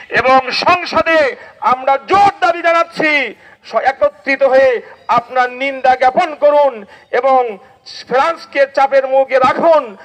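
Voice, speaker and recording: A man's voice: very high voice, poor audio. A Bangladeshi man giving an impassioned religious protest speech as a cleric, declamatory and exhorting, with rhetorical questions and repetition. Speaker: Islamic cleric addressing a protest rally.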